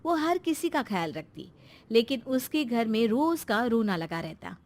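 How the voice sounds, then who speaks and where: A woman's voice: on the high side, big pitch swings, unhurried. A woman narrating a scripted cartoon story as a storyteller.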